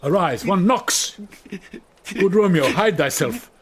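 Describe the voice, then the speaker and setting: A man's voice: high voice, expressive, takes it slowly. An older man playing a stern, fatherly holy friar in classical Shakespearean radio drama, declaiming and scolding. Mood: urgent, alarmed. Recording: faint hiss, 1990s radio drama CD.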